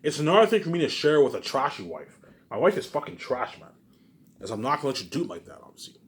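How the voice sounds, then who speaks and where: A man's voice: fairly high pitch, big pitch swings, fast. A man casually reading a book aloud for his viewers, often stumbling, repeating words and breaking off into emphatic rhetorical asides. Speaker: video creator doing a book readalong.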